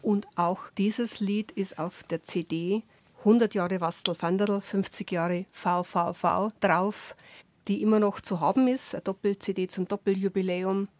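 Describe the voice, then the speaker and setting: Brief: woman radio host, chatty interviewer, mid-pitched, dry studio sound. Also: steady tone, a little slow, dry recording. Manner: conversational, asks gentle follow-up questions. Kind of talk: radio music program and interview.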